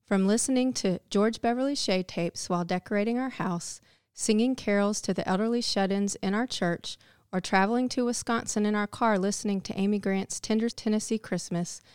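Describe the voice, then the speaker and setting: A woman's voice: medium pitch, normal speed, a little mellow, clear, resonant tone, no room sound, studio quality. A woman giving a scripted, reflective devotional narration. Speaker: devotional speaker.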